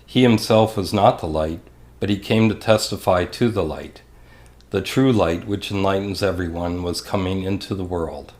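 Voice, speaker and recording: A man reading scripture for a church service in a measured, formal way. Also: low voice, darkish tone. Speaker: scripture reader.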